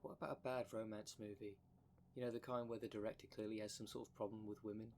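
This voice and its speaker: A man's voice: fairly low pitch, little pitch movement, fast talker, darkish tone, a little hiss or hum, natural voice. A man playing a blunt, cheeky dream demon, slangy and teasing, in an ASMR roleplay drama. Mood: chatty. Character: blunt, cheeky dream demon, bickering half of split creature.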